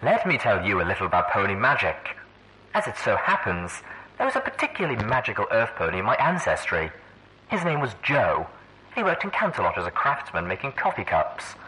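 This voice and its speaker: A man's voice: on the low side, huge pitch swings, a little fast, bright, forceful tone, heavily acted. A man voicing a smug, silky female pony overseer with a condescending manner in an audiobook. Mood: smug storytelling. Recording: faintly noisy old audio log.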